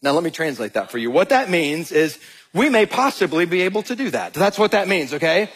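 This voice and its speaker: A man from Georgia in his thirties with a Southern accent, a pastor preaching a sermon and telling animated, joking stories to the congregation. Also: on the high side, fairly quick, slightly rough. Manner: animated, jokey storytelling, addresses crowd as y'all. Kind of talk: sermon with storytelling.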